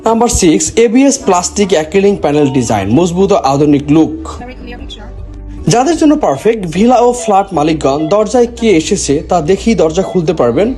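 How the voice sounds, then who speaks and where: A man's voice: high-pitched, big pitch swings, a little fast, dark tone. A man with a Bangladeshi accent presenting a product in a promotional voice-over, mixing in English phrases. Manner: mixes English phrases into speech. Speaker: product presenter for a gadget seller. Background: background music.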